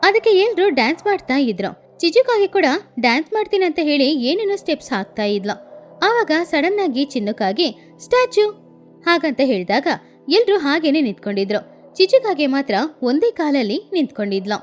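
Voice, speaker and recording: A woman narrating a children's cartoon story in an expressive storytelling manner. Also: high voice, moderate pace, soft background music.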